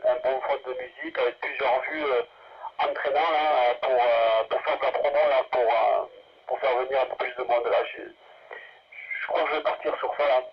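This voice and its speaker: A man's voice: mid-range voice, steady tone, moderate pace, husky. A man chatting casually as an amateur radio operator, rambling and hesitant, heard through a radio link. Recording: muffled, narrow-band two-way radio transmission.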